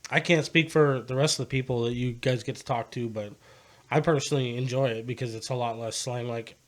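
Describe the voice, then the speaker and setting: A man's voice: medium pitch, fairly even intonation, a little fast. A man hosting a podcast, chatting casually in a slangy, laid-back way with heavy cursing. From United States. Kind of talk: casual conversation.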